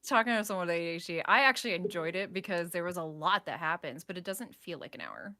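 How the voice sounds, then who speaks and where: A woman's voice: on the low side, lively intonation, fast talker, sharp tone, no echo, clean studio sound. A woman hosting a live event in a casual, jokey, sweary and giggly way. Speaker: host of a live fiction podcast event. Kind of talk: casual, jokey group chat.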